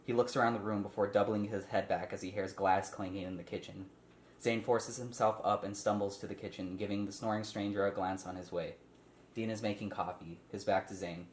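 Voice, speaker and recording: A man's voice: on the low side, fairly even intonation, brisk. A man leading a casual table read, reading out stage directions and explaining informally. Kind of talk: casual script read-through with asides. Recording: echoey room with faint noise.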